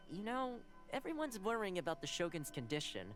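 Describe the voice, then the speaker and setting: Young household envoy, friendly, courteous, explanatory, animated intonation, game dialogue. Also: male voice, high voice, normal speed. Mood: relieved, grateful. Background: soft game music.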